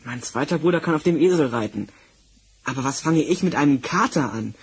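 An actor playing a poor, good-hearted young miller's son in a fairy-tale radio play, with a husky voice. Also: male voice, fairly high pitch, animated, a little fast, a little hiss or hum. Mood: glum.

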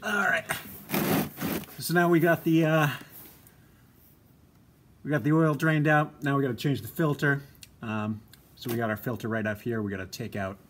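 A young man, a science teacher, explaining car maintenance to his students in a relaxed, chatty way with plenty of ums, filmed on a phone in his home garage. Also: mid-range voice, moderate pace, pauses often, a little hiss or hum. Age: young adult. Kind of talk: casual how-to lesson video. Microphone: phone camera held close.

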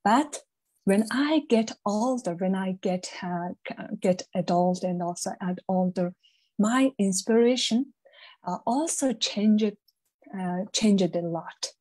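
A woman speaks slowly in a warm, mellow voice. She gives an impromptu speech in a halting way, repeating words and tagging questions onto her sentences. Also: mid-range voice. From Mongolia. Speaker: club member giving an impromptu speech.

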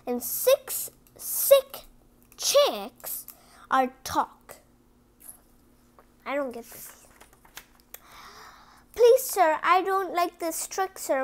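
Lady w/ really high voice doing a kids read aloud, super slow n careful, chats to the listeners. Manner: slow, deliberate word-by-word reading with chatty asides to listeners. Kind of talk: children's picture book read-aloud.

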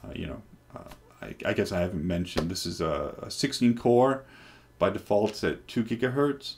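Man, deep low voice, headset mic, relaxed rambling tech talk, lots of ums. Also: normal speed, slight background noise. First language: English. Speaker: developer presenting hardware. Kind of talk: casual screen-recorded tech demo. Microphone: headset microphone.

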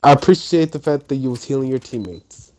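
A man's voice: mid-range voice, animated, brisk, darkish tone. A man streaming a video game, chatting and commentating in a casual, slangy, informal way. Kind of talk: casual gaming chat and commentary. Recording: busy game sound and voices behind. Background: video game battle sounds, other players.

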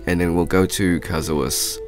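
A man's voice: low voice, fairly even intonation, faint music behind. A man casually commenting on a video game as he plays, sounding sick and nasal with a stuffy cold. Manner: slow, chatty, talks to viewers while playing. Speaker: gaming YouTuber.

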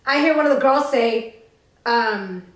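A young woman co-hosting a podcast, telling a story fast and with lots of swearing while doing her makeup on a couch. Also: fairly high pitch, reverberant. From Tennessee. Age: young adult. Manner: fast, profane, animated storytelling. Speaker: podcast co-host. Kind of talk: casual storytime chat. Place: living room, on a green couch.

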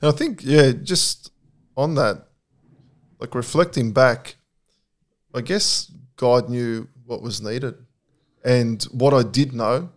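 A middle-aged man, talking reflectively and candidly as a podcast guest, with many fillers and restarts. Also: mid-range voice. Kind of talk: casual conversation.

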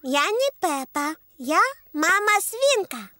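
A woman's voice: extremely high pitch, very animated, slow pace, sharp tone, no room sound, clean studio sound, full character voice. A woman voicing a bossy, cheerful little girl piglet in a children's cartoon. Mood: self-important play-acting.

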